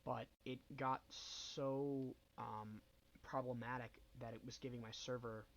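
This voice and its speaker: A man's voice: medium pitch, fairly even intonation, a little slow, low-quality recording. A young man with a bad cold hosting a casual game walkthrough, rambling and hesitant with many ums and self-corrections. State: nasal from a cold. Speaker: gaming channel host.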